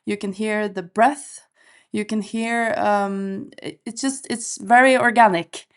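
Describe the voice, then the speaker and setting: A woman folk musician answering interview questions in an unhurried, thoughtful way with frequent ums. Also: mid-range voice, fairly even intonation, clear, resonant tone.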